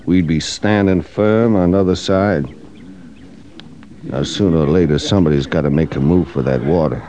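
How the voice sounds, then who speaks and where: An actor playing a talkative, brooding cavalry veteran in an old radio western, speaking in a folksy American drawl. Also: male voice, extremely low pitch, moderate pace, mellow, slight background noise. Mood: haunted, intense. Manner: folksy drawling frontier soldier speech.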